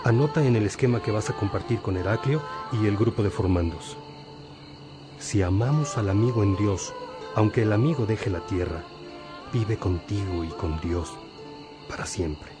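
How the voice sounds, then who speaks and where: A man's voice: on the low side, moderate pace, music in the background, natural voice. An actor playing a wise, patient older priest who teaches his disciples reflectively in a religious radio drama. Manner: reflective, didactic.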